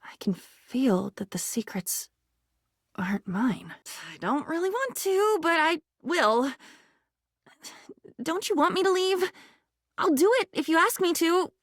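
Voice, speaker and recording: A woman's voice: very high voice, sing-song, unhurried, long pauses, a bit bright, dry recording, clean studio sound, heavily acted. A woman playing an anxious, insecure living diary in a video game, morbid and erratic, with fragmented, theatrical delivery that veers between muttering and outbursts. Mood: hushed, haunted, then frantic, desperate.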